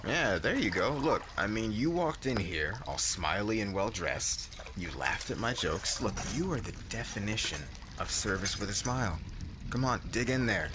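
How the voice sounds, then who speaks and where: A man's voice: lowish voice, lively intonation, normal speed, natural voice. A man playing a cocky, possessive young warrior husband in an intimate ASMR roleplay, talking in casual, teasing modern slang. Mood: joking, generous. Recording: clear background noise, water ambience.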